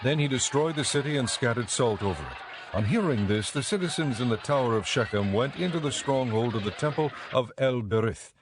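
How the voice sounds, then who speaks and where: A man narrating a dramatized audio Bible in a measured, clearly enunciated storytelling style. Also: mid-range voice, expressive, noisy background.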